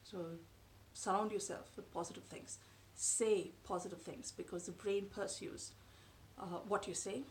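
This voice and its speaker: A woman in her thirties whose first language is Tamil, talking to camera from a sofa in her living room in a measured, thoughtful way with frequent pauses. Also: medium pitch, fairly even intonation, slight background noise. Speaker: vlogger. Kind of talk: explanatory talk to camera. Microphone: camera in front at close range.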